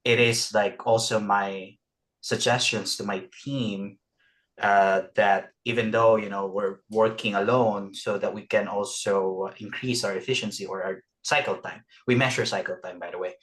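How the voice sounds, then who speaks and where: A man's voice: lowish voice, a bit bright. Hesitant man. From Philippines. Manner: hesitant, many uhs. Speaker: audience member asking a question. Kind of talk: webinar question, casual.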